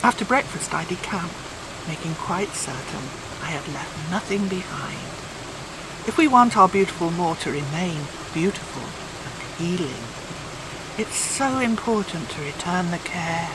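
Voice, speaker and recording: A woman reading a personal essay aloud as scripted narration, with measured, deliberate phrasing. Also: on the low side, lively intonation, very noisy. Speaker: volunteer reader.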